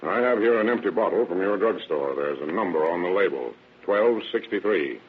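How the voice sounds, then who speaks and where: An actor playing a confident, dogged sheriff in an old radio drama, firm and matter-of-fact. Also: male voice, low voice, expressive, moderate pace, a little hiss or hum. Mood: confident, brisk. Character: confident, dogged male sheriff, brisk and authoritative. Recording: tinny narrow-band vintage radio recording.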